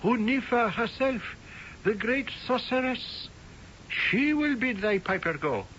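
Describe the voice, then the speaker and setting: An actor playing a reverent, mystical manservant in a radio drama, telling tales in solemn, measured, archaic phrases. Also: male voice, extremely high pitch, expressive, fairly bright tone, heavily acted. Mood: mysterious. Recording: dull, noisy old radio recording.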